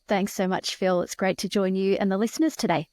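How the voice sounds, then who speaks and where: A woman in her forties with an Australian accent, speaking as a guest researcher in a podcast interview, articulate and measured with occasional restarts. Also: mid-range voice, fairly even intonation, darkish tone, dry recording. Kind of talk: conversational interview.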